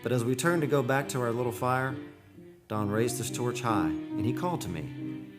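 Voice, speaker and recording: A man playing a wistful, dreamy photographer narrating an eerie seaside tale in a measured, poetic radio drama style. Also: medium pitch, over loud music, natural voice. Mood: hushed, eerie. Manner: measured, poetic, solemn delivery. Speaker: actor, narrator.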